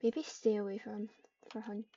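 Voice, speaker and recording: A woman reading out roleplay narration and dialogue in a halting way, with stumbles and repeats. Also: mid-range voice, little pitch movement, dark tone, a little hiss or hum. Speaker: online roleplayer. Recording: muffled, distant microphone.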